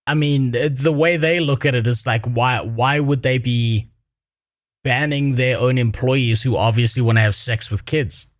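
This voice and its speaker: A man's voice: mid-range voice, steady tone, a little slow, dry recording, clean studio sound. A man co-hosting a stream, reacting bluntly and crudely, rambling with lots of fillers. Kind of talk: casual reaction chat.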